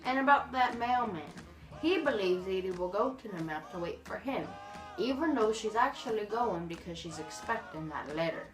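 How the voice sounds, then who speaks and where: An exasperated, bickering grandmother tells stories in a homemade comic skit, acted by a woman. Her voice is mid-pitched and sits back from the mic in an echoey room, with faint music behind it. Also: animated, moderate pace, recorded from a distance, natural voice. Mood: storytelling. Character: Grandma Maria, bickering elderly woman storyteller, fondly exasperated. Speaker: story show host. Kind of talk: amateur comic skit, storytelling.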